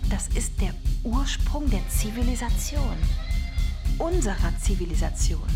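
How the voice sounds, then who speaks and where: An actress playing a defiant activist in a radio drama, declaiming a political monologue emphatically. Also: female voice, fairly high pitch, lively intonation, a little slow. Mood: grave, resolute. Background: loud music.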